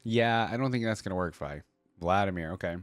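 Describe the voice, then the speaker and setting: A man's voice: low-pitched, a little fast, a little hiss or hum. A man hosting a reaction video, commenting casually in short, laid-back remarks as he muses aloud.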